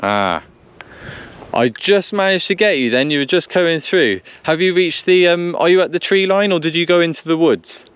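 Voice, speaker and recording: A man's voice: on the high side, normal speed, fairly bright tone, dull audio. Man thinking aloud over background noise. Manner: repeats himself, thinking aloud. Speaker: vlogger testing two-way radios. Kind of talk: casual running commentary and radio calls. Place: outdoors on a country lane. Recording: noisy outdoor recording with vehicle sounds.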